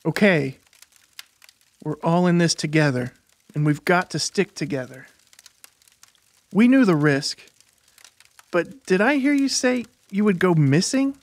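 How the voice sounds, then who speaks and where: A woman's voice: lowish voice, expressive, a little slow, long pauses. A woman voicing a stern teenage boy who leads his group in an audiobook, demanding and firm. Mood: firm, serious. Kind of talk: audiobook character acting.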